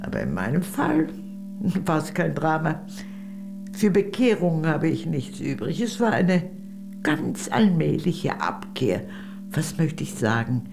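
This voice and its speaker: A woman's voice: deep voice, very animated, measured pace, gravelly, music in the background, exaggerated voice. An actress playing a worldly, gracious older lady, a former communist, in a radio play, speaking in a genteel, arch, confiding way. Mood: wry, confiding.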